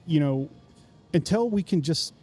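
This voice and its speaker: A man in his fifties hosts a podcast, his voice warm and mellow but pitched fairly high. He talks casually and with self-deprecating humor, stumbling and restarting as he reaches for words. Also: animated, measured pace, faint music behind. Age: 50s. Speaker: podcast host. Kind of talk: casual interview chat. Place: coffee shop.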